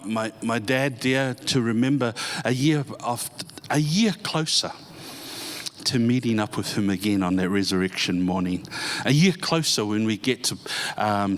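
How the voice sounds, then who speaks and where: A man, a pastor introducing himself to a church audience in a halting, heartfelt way with many pauses and restarts. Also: fairly low pitch, a bit bright. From born in Samoa, grew up in New Zealand.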